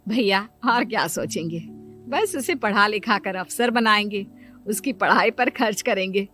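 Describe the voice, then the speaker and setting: A woman's voice: medium pitch, measured pace, fairly bright tone, soft background music, natural voice. A woman playing an insistent, scheming sister-in-law and mother in a dramatised moral story. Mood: hesitant, polite.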